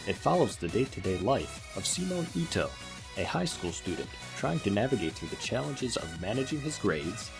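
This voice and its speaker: A man reading scripted narration as the host of a video essay. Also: deep voice. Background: music.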